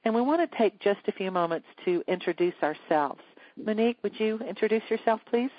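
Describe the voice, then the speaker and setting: Woman seminar host, measured earnest delivery, dry dull phone-call sound. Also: medium pitch, dry recording. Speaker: leadership coach and seminar host. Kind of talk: teleseminar presentation.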